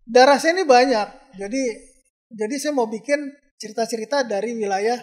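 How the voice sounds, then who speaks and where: A man's voice: very high-pitched, moderate pace. A man from Indonesia, a photographer guest, telling rambling stories in a casual interview with many fillers. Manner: chatty, rambling storyteller with frequent fillers.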